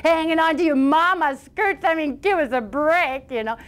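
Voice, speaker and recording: Whiny, frightened young brothers complain and panic in squeaky, strained voices, as a woman storyteller puts them on. They sound like lazy boys in a folktale told aloud, pitched much higher than the narration. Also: unhurried. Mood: mocking. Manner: whining, panting. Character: whiny scared teenage sons, panicky.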